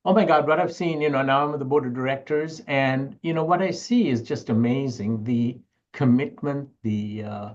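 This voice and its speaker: A middle-aged man speaks as an interview guest, in a conversational style. His voice sits at a medium pitch, and the sound is a little muffled and lo-fi. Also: moderate pace. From Sri Lanka. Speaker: interview guest, university educator and researcher.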